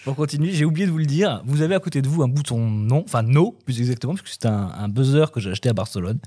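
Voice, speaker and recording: Man, podcast host, husky voice, chatty interviewer who laughs easily. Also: medium pitch, animated, fast talker, dark tone. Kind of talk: interview hosting.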